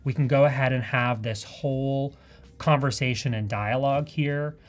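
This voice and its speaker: A woman with a Canadian accent giving a live software demonstration in a casual, chatty and enthusiastic way. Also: extremely low pitch, fairly even intonation, normal speed. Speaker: presenter of a live software tutorial.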